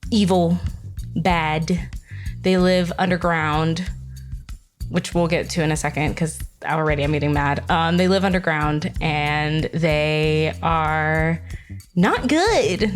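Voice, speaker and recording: A woman chatting casually as a podcast panelist in a playful, emphatic and sardonic way. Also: fairly low pitch. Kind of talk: casual chat.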